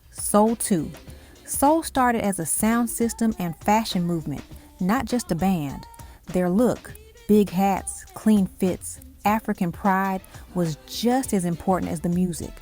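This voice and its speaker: A woman's voice: mid-range voice, slow pace, mellow. A woman reading scripted music countdown narration, telling it like an evocative story in short dramatic phrases.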